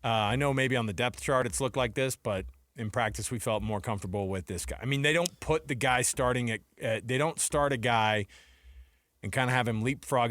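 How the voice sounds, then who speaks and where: A man's voice: mid-range voice, fairly bright tone, no room sound. Casual male sports radio co-host, dry studio.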